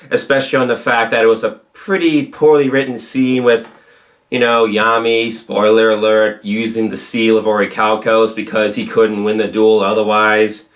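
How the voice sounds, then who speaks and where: A man's voice: medium pitch, fairly bright tone. A man hosting a reaction video, commenting casually on what he watches.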